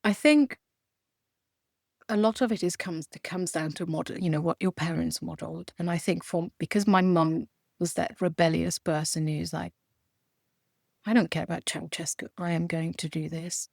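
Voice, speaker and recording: Gravelly low-voiced woman guest. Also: steady tone, moderate pace, a little mellow, dry recording, clean studio sound. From Romania and Hungary. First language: Hungarian. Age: 40s. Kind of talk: conversational interview.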